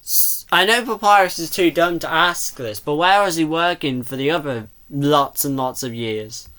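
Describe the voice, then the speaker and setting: Casting: casual reaction commentator, bright mid-range voice, rambling and full of questions. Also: mid-range voice, normal speed.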